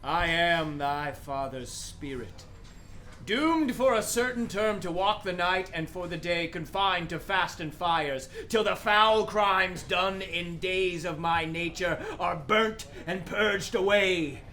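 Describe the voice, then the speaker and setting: An actor playing the anguished ghost of a murdered king and father in a Shakespeare radio play, declaiming in a drawn-out, theatrical way. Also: high voice, sharp tone, heavily acted. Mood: solemn, mournful.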